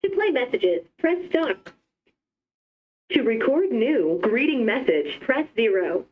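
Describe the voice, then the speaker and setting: A woman's voice: a little high, big pitch swings, slow pace. An automated woman's voice reading formal, segmented voicemail menu prompts, played back through a telephone system. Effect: telephone system playback. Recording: dull lo-fi phone speaker playback.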